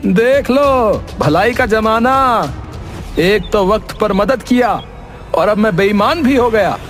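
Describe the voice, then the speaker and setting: Man playing a high-voiced greedy village schemer. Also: expressive, slow pace, faint music behind. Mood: aggrieved, demanding. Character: greedy scheming brother-in-law claiming a widow's land. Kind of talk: animated moral-story dialogue.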